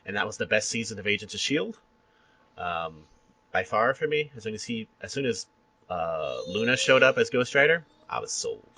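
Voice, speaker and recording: Gravelly, bright-voiced male podcast host. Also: on the low side, very animated. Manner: enthusiastic, rambling, quick to laugh. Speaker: podcast host interviewing a guest. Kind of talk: casual fan chat interview.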